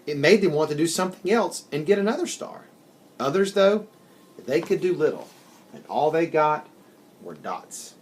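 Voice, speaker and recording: Male narrator for a children's storybook: expressive and animated, deliberate phrasing, slight background noise. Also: on the high side. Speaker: story reader.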